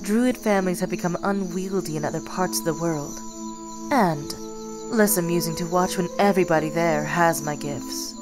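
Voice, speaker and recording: Woman acting a low, proud nature goddess. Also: music in the background, lightly acted. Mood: proud, composed. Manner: formal, measured. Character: proud, discerning nature goddess, ancient patron. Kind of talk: fantasy audio drama acting.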